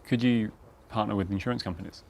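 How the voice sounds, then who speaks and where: A man's voice: lowish voice, fairly even intonation, fast talker, gravelly, slight background noise. A man hosting a casual interview, tentatively rephrasing his questions as he goes. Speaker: podcast host, interviewer.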